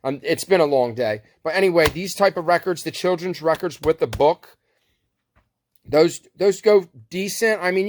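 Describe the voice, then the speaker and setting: A man giving a casual, unscripted show-and-tell as a reseller, rambling and correcting himself in laid-back, slangy talk. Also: fairly high pitch. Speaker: thrift reseller showing a haul.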